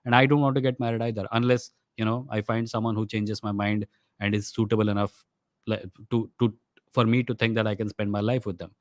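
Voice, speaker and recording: A young man with an Indian accent, his first language Odia, hosts a live Q&A and rattles through viewer questions at a rapid clip. His speech is conversational and mixes Hindi phrases into English. The sound is dry, with no room echo. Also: on the low side, little pitch movement. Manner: quick, conversational, slips into Hindi mid-sentence. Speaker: host of a live stream. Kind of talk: livestream Q&A, reading viewer questions aloud.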